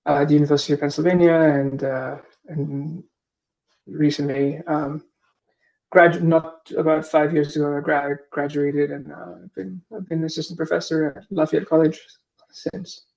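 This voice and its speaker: A man's voice: a little high, steady tone, normal speed, vocal fry, darkish tone, highs cut off. A man in his thirties, from Singapore, answering interview questions as a guest professor, hesitant, with many fillers and restarts.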